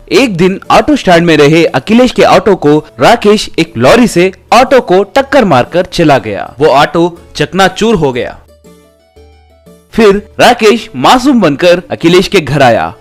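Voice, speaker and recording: Higher-pitched male storyteller. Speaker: storyteller narrator. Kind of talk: scripted narration of a Hindi moral story.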